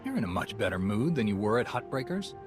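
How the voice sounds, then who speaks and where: Casting: a firm heroic commander for a game, lowish expressive voice, measured and direct, music underneath. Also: male voice. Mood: questioning. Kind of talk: video game cutscene acting. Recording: music behind the voice.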